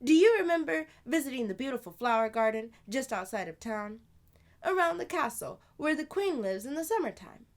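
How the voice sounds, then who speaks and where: A woman plays a storybook big sister spinning fanciful tales. The voice is high and very lively in its swoops, emphatic, playful and confiding, as if fully in the know. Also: moderate pace. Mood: excited, confiding. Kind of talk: children's storybook read-aloud.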